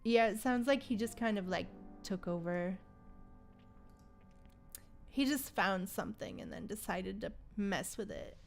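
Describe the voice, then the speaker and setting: A woman's voice: mid-range voice, sing-song, unhurried, vocal fry, long pauses, soft background music. A woman chatting casually on a gaming livestream, thinking aloud in an informal, musing way. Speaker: livestream host.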